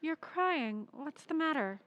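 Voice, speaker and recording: An actress playing a timid, tender younger sister, soft and concerned, in an old-time radio drama. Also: female voice, high voice, expressive, takes it slowly, no echo, dull audio, exaggerated voice.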